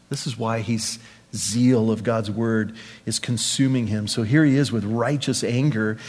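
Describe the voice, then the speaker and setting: A man preaches in a mid-pitched voice with long hall reverb around it. He teaches scripture conversationally, circling back over phrases and asking his listeners rhetorical questions. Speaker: pastor, preacher. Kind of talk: sermon, verse-by-verse Bible teaching to a congregation.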